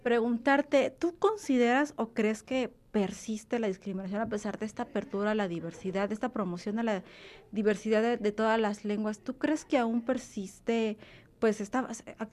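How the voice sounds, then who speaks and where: A woman conducting an interview, with a voice of middle pitch. She asks her questions conversationally and rewords them partway through. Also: moderate pace, darkish tone.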